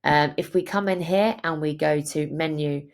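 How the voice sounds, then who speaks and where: A woman presenting a software tutorial, explaining each step in a clear, instructional way. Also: low voice, moderate pace. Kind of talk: screen-share tutorial narration, instructional.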